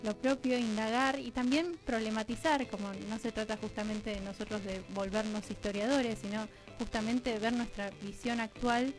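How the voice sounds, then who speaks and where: Radio guest, female, Argentine accent, higher register, reflective conversational delivery, faint music bed. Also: steady tone, normal speed, few pauses, low-quality recording. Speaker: radio drama producer and guest.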